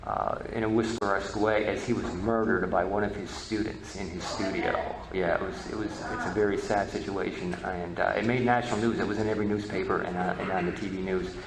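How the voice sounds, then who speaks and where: A man giving an informal history lecture as presenter, halting and often repeating words. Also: deep voice, fairly even intonation. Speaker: presenter, media history researcher. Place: presentation room at a convention. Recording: echoey room with background noise.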